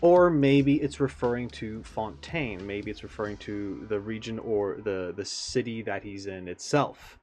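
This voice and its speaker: A man's voice: lowish voice, measured pace, few pauses, darkish tone, soft background music. A man giving casual reaction commentary as an online host, talking in a musing, speculative way.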